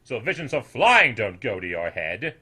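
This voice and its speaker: A man's voice: medium pitch, very animated, normal speed, pushed and bright, husky, full character voice. A man voicing a scornful old storybook creature who sneers and belittles with heavy emphasis. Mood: mocking, scornful. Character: scornful old male snoodle, mocking and belittling.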